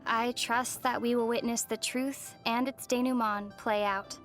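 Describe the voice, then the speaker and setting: A woman voicing a composed young female traveler in a video game, gentle, measured and thoughtful, with a wry edge. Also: on the high side, steady tone, faint music behind. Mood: thoughtful, knowing. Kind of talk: video game character voice lines.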